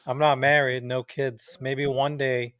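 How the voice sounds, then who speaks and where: A man's voice: medium pitch, little pitch movement, a little slow, fairly bright tone, no echo. Casual man interviewing, dry close sound. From the Levant. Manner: informal, often says man and bro. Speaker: podcast interviewer.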